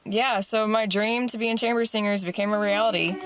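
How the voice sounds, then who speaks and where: A woman's voice: medium pitch, steady tone, fairly quick, heavy background noise. A young adult woman telling a personal story in an earnest, casual, encouraging way, like a motivational talk. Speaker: singer and motivational speaker.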